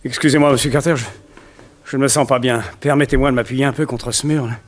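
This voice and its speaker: A man plays a wealthy madman villain in a 1960s French radio drama. His manners are exquisitely polite and he lingers over his words with theatrical relish. His pitch sits in the middle of the male range. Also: steady tone, fast talker, background noise, close to an everyday voice. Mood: flustered. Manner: polite, savouring, theatrical delivery. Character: smooth, mad, courteous rich villain who collects statues.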